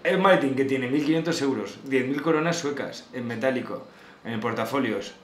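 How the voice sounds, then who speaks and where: A man's voice: mid-range voice, fairly quick. A man in his thirties with a Spain accent, bantering casually as host of a prank show. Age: 30s. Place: small home office, indoors. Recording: echoey room, faint background noise.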